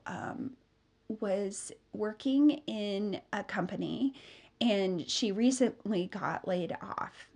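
A woman's voice: mid-range voice, darkish tone. Chatty woman podcast host with a cold. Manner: chatty, upbeat, many fillers. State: has a cold, a bit nasal. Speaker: podcast host and business mentor. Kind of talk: solo podcast talk.

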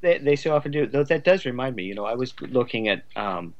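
A man speaking as a podcast guest, casual and thoughtful, halting often as he gathers his ideas. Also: mid-range voice, animated, lo-fi sound. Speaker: podcast guest, playwright and author. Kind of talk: casual conversational discussion.

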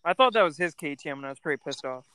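A man's voice: fairly high pitch, animated, racing, pushed and bright. A twenty-year-old man chatting informally and telling slangy anecdotes on a podcast. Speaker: podcast co-host. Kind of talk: casual anecdotes and chat.

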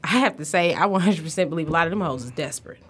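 A woman's voice: deep voice, rapid-fire, a crowd in the background. A woman in her thirties speaking in a brash, outspoken way in a casual podcast chat. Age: 30s.

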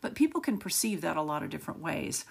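A middle-aged woman hosting a solo podcast, with a raspy, gravelly voice and a rapid, slightly manic delivery. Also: sits low, sing-song. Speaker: podcast host.

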